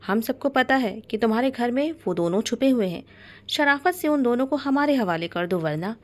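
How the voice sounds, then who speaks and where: A woman's voice: fairly high pitch, animated, moderate pace, a little mellow, voice pushed up, close to an everyday voice. A woman voicing a blustering armed man in a story, challenging and scornful. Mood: threatening. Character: blustering armed man, sizing up his opponent.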